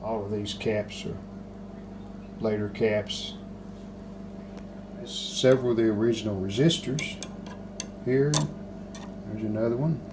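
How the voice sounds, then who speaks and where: A man with a fairly low voice talks through a hands-on repair in a casual way. He is very slow and unhurried, thinking aloud as he goes. There is clear noise behind his voice. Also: fairly even intonation. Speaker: hobbyist restorer presenting a repair video.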